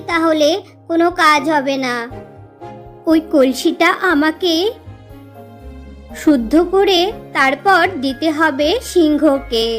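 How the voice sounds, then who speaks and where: A woman as high-voiced, commanding cartoon fairy queen. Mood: firm, instructing. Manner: authoritative, instructive. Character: powerful fairy queen, solemn, commanding, protective. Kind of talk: children's cartoon voice acting.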